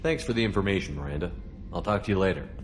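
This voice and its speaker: A brisk commander from a science fiction game, speaking quickly in a deep voice. He is direct and businesslike, with music playing behind him. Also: expressive. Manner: direct, questioning, authoritative. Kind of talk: video game cutscene voice acting.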